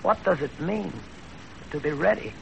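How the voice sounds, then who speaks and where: A creaky-voiced eager student. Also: male voice, high voice, normal speed. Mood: awed, questioning. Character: eager, awed young student comrade. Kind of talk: 1930s radio acting. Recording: noisy old 1930s radio recording.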